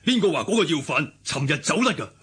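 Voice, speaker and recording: An actor with a Hong Kong Cantonese accent playing a stern, scornful cult elder in a radio drama, curt and accusing. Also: male voice, a little high, lively intonation, quick pace, a bit bright, slightly rough, full character voice. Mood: stern, suspicious.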